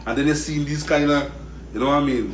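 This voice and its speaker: Quick-talking host, echoey. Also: male voice, a little high, steady tone. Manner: chatty, repeats the guest's words back. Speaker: interviewer host. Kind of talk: casual interview. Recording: echoey room, distant mic, faint noise.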